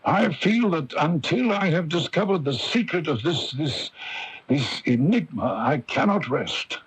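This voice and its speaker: An actor playing a stubborn, impatient archaeologist husband in a radio drama, with a husky voice. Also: male voice, fairly high pitch, lively intonation, unhurried, heavily acted, rough recording. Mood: fervent, driven. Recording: hissy early-1980s AM radio broadcast.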